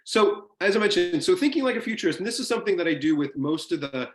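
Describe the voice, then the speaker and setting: A man's voice: high voice, expressive, fast, reverberant. Enthusiastic man lecturing over a video call. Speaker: guest speaker in a university seminar.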